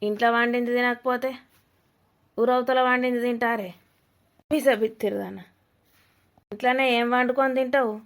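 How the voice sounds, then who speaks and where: A woman's voice: fairly high pitch, fairly even intonation, moderate pace, pauses often, natural voice. A woman playing a blunt, nosy older village mother-in-law with a Telangana Telugu accent, scolding and mocking in a comedy cartoon.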